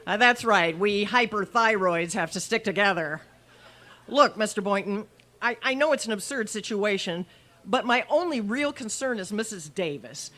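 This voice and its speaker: A woman playing a quick-witted schoolteacher with a dry, arch manner in an old-time radio comedy. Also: medium pitch, lively intonation, bright, forceful tone. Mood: wry, pleading. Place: live stage performance at a radio history event.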